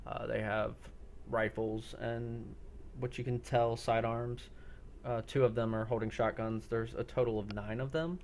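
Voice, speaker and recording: A man acting as game master, narrating a role-play scene in a halting, descriptive way and putting questions to players. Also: medium pitch, level tone, a little mellow, slight background noise. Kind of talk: improvised narration of a tabletop-style zombie role-play.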